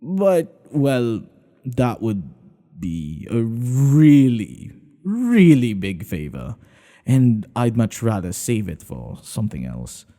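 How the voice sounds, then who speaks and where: Guy playing devoted secret-lover king, asmr roleplay, slow and hushed, very mellow, big reverb. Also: mid-range voice, very animated. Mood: reassuring, devoted. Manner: hushed, earnest, halting delivery with sighs. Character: tender, devoted male monarch hiding a secret lover. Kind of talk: intimate ASMR boyfriend roleplay acting.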